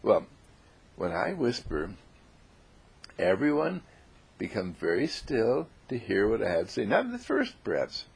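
A man playing an earnest, self-assured young fellow in a story, emphatic and knowing, moving between hushed and insistent. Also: on the low side, wildly swooping pitch, unhurried, gravelly, long pauses, slightly rough, full character voice. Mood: smug, knowing.

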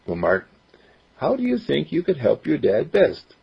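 A man voicing a patient, loving mother who speaks in a soft, coaxing way. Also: fairly high pitch, huge pitch swings, unhurried, vocal fry, husky, dull audio, voice pushed up, full character voice.

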